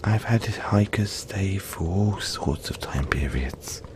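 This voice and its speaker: A man voicing a gentle, friendly young yeti in an intimate audio roleplay, speaking softly and reassuringly, with halting, breathy phrasing. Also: low voice, animated, background noise. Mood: fond, relaxed. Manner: soft-spoken, reassuring, halting, often breathy near-whisper.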